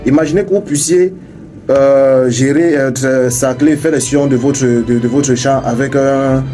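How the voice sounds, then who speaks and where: A young man, an English speaker by birth, guesting on a radio interview and talking quickly with many halting restarts. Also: mid-range voice, fairly even intonation, warm tone, soft background music. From Cameroon. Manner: fast, halting talk with many restarts and repeated words. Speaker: radio guest, crypto entrepreneur. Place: radio studio.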